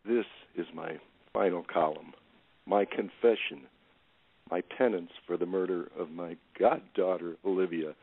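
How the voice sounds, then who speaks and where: A man's voice: low voice, measured pace, slightly rough, no room sound, exaggerated voice. An actor playing a middle-aged man with guilt to hide, cornered, fearful and defensive in an audio drama. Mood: broken, remorseful. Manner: agitated, incredulous, pleading. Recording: phone-like lo-fi line.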